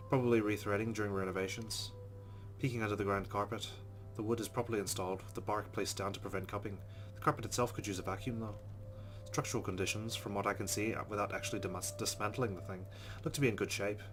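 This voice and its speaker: Brief: man voicing a visual novel playthrough, quick and low, game music clearly under him. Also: fairly bright tone. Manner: brisk, wry asides. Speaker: let's play host. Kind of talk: reading game narration aloud.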